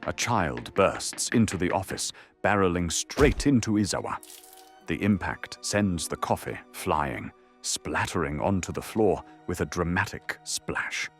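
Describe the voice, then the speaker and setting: A man's voice: sits low, lively intonation, measured pace, a bit bright, soft background music. A man narrating an audio drama in a dramatic, scripted storytelling style.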